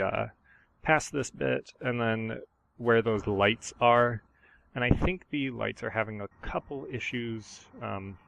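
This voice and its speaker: A man who is sick with a sore throat, giving a relaxed, rambling gameplay voiceover with many ums and uhs. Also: mid-range voice, vocal fry, poor audio. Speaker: gaming channel host. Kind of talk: casual gameplay voiceover commentary. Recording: steady background noise.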